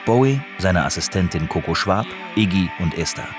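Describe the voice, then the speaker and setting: Male narrator, low voice, lively intonation, scripted radio documentary, music bed. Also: moderate pace.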